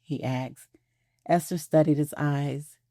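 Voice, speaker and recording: A woman narrating an audio drama in a soft, measured storytelling voice, recorded on a close studio mic. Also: low-pitched, steady tone, no room sound.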